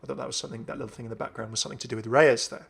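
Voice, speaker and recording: A male gaming host gives casual, chatty commentary. His mid-pitched voice has plenty of creak, and he sounds enthusiastic about what he is showing. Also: fairly even intonation, rapid-fire. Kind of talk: casual gameplay showcase commentary.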